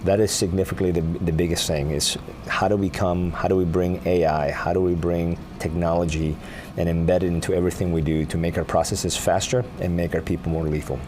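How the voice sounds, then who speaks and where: A man's voice: deep voice, little pitch movement, brisk, a little mellow. A man from Peru, an army sergeant major, answering questions earnestly in a live broadcast interview, often restarting his phrases. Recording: noisy outdoor broadcast.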